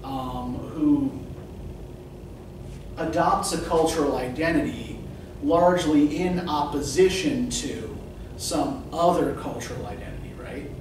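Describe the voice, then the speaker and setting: A man's voice: a little high, lively intonation, measured pace. Lecturing man in an echoey classroom. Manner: conversational, asks questions, frequent "right?" checks. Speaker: literature professor. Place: classroom with whiteboard. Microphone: far camera at the back of the room. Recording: echoey classroom from far off, noisy.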